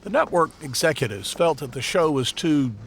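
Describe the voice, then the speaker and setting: A man playing a dry, deadpan Hollywood historian commenting in a documentary interview. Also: fairly high pitch, moderate pace, slight background noise. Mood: dry recollection.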